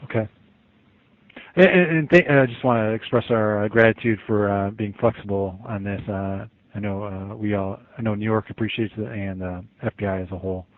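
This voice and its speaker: A man's voice: lowish voice, fairly even intonation, moderate pace, dry recording. An American man speaking courteously and professionally as a federal agent on a work conference call over a telephone line. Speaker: FBI agent. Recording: muffled phone conference call recording.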